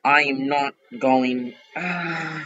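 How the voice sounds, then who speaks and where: A man's voice: medium pitch, steady tone, very slow, pushed and bright, dull audio. A man giving casual, informal gameplay commentary as the host of a gaming video, recorded at a computer.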